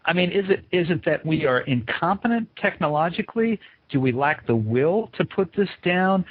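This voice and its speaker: Thin, phone-like audio carries a husky American man conducting an interview. He is conversational and informal, working toward his questions by thinking aloud, with very little room sound. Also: sits high, animated, normal speed, dry recording. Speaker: interviewer, show host.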